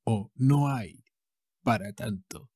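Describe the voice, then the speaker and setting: A man from Spain with a Castilian Spanish accent, teaching a language lesson, saying each phrase slowly and repeating it with variants. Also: mid-range voice, mellow, husky, dry recording, clean studio sound.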